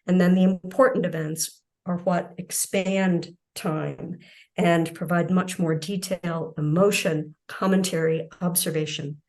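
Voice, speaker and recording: A woman giving an academic keynote lecture, explaining her research in a measured way. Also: fairly low pitch, a little mellow. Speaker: keynote speaker.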